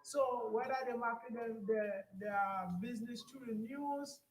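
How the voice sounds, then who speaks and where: A woman's voice: on the high side, measured pace, reverberant, rough recording. A woman, from Grenada, co-hosting a casual talk radio discussion in an opinionated, lecturing way. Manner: opinionated, lecturing, many fillers.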